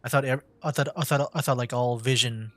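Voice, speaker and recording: A man's voice: mid-range voice, steady tone, brisk, dry recording. A man doing casual livestream game commentary in an informal, jokey way. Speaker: streamer.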